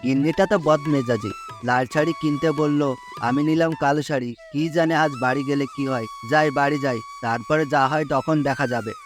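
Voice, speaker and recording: A man's voice: on the high side, steady tone, a little fast, natural voice. A man voicing a kind-hearted poor farmer in a cartoon, talking patiently and sometimes grumbling in exasperation. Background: background music.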